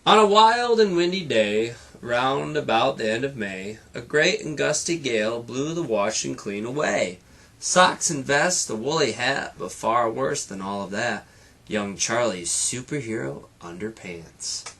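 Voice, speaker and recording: A man's voice: medium pitch, lively intonation, measured pace, creaky, a bit bright. A man reading a children's picture book aloud like a teacher, with rhythmic, dramatic delivery and playful asides.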